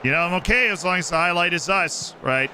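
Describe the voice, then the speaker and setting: A man streaming a football management game, commenting excitedly on matches and chatting directly with his viewers. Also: high voice, brisk, background noise. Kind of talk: casual live-stream commentary to chat.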